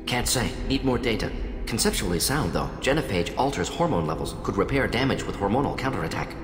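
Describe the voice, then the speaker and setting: Man voicing a clipped, low-pitched alien scientist. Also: animated, fairly quick, close to an everyday voice. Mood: clinical. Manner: clipped telegraphic sentences, dropping small words, analytical. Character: fast-thinking male alien scientist, clinical and opinionated. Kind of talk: video game character acting. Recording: echoey hall reverb, music behind. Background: game music.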